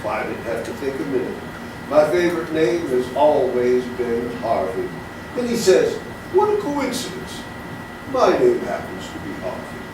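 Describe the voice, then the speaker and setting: An actor playing a gentle, courtly, dreamy barfly, telling tales unhurriedly in a theatrical comedy. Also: male voice, medium pitch, sing-song, lightly acted. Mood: fond, amused. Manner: genteel, unhurried storytelling. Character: gentle, courtly, dreamy male barfly with an imaginary rabbit friend. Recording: distant, very echoey, noisy stage recording.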